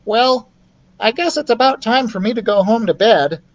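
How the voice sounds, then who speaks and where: A man voicing an eager, impulsive young male mouse in an excitable, earnest storybook read-aloud. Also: very high voice, normal speed, dull audio, voice pushed up. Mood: sheepish, apologetic.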